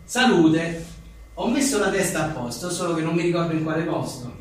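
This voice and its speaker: Man, high voice, wry joking delivery, reading one-liners with asides, very echoey room, faint noise. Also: lively intonation, fairly quick, recorded from a distance. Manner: wry, joking, often chuckling. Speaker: writer and journalist presenting his own book. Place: live book presentation in a reverberant room.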